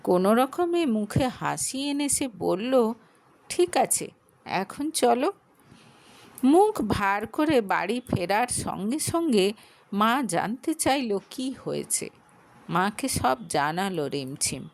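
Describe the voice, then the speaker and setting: A woman narrating a romantic audio story with a Bengali accent, telling it slowly and expressively. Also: gravelly, no echo. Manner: slow, expressive storytelling with lively pitch.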